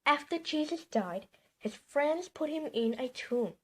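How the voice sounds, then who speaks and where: A woman reading a children's bedtime story aloud in an expressive, emphatic storytelling manner. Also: high voice, unhurried.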